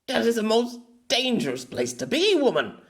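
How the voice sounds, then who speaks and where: A man's voice: very high-pitched, huge pitch swings, moderate pace, pitched above the performer's own voice, full character voice. A man voicing a weary, sarcastic male writer in an audiobook, dry and exasperated in manner. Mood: flustered.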